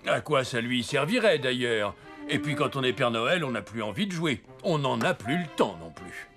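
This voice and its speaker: A man's voice: medium pitch, lively intonation, normal speed. A man voicing an old, childishly enthusiastic Santa Claus in a French children's cartoon, jovial and theatrical. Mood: stiff, resigned. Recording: loud music behind the voice. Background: loud music.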